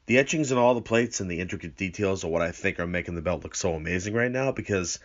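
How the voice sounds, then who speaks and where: A man's voice: low voice, quick pace, lo-fi sound. A man presenting a video review in an earnest, wordy way, with rather formal phrasing. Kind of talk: scripted review narration with enthusiastic unboxing remarks.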